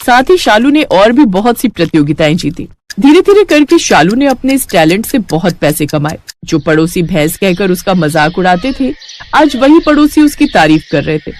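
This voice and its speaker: A woman's voice: mid-range voice, sing-song, moderate pace, a little mellow, no room sound. A woman narrating a scripted cartoon family story.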